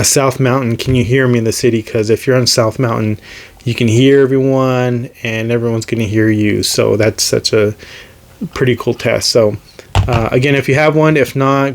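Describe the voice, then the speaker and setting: A man's voice: medium pitch, a little mellow, slight background noise. An American man hosting a casual, unscripted hobby review video, rambling and hesitant as he thinks aloud. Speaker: radio hobbyist video host.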